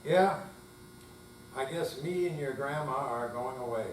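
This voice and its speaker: A man's voice: mid-range voice, a little slow, natural voice. A man playing a tender, wistful old grandfather with plain, folksy phrasing on stage. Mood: earnest, hesitant. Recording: distant, echoey room with faint mains hum.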